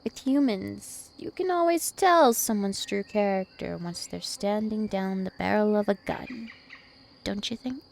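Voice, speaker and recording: Woman performing a ancient female vampire royal, dominant, taunting, creaky-edged, ASMR roleplay, faint noise behind. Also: mid-range voice, a little mellow. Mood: scornful. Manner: slow, haughty, teasing menace. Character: two-thousand-year-old vampire princess, female, dominant, taunting, imperious.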